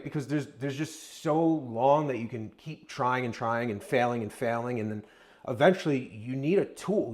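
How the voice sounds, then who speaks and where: A man co-hosting a podcast, speaking in a casual, conversational style. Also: mid-range voice. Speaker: podcast co-host.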